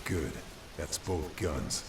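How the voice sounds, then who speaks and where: An actor playing a gruff, stoic wartime lieutenant and covert operative in a video game, speaking in a terse, understated way. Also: male voice, very deep voice, expressive, very slow, very noisy, full character voice. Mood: low, focused muttering. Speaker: player character and narrator. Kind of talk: game cutscene acting and scripted narration.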